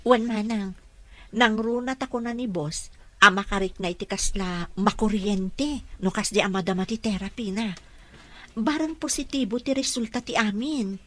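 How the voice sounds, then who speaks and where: An actress playing an earnest, respectful caregiver in a radio drama, speaking politely with a Filipino accent. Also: female voice, mid-range voice, normal speed, sharp tone.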